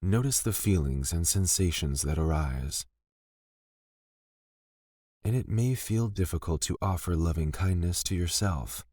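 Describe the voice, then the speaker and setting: Male meditation guide, low-pitched, gentle and unhurried delivery of scripted lines, very dry close sound. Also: lively intonation, no echo. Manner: gentle, soothing, deliberate with pauses between phrases.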